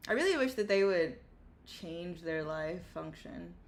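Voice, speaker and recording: A woman's voice: on the low side, expressive, a little slow, vocal fry. A woman co-hosting a livestream in casual conversation, laid-back and freely giving her opinions. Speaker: livestream co-host. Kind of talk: casual chat. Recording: faint background noise.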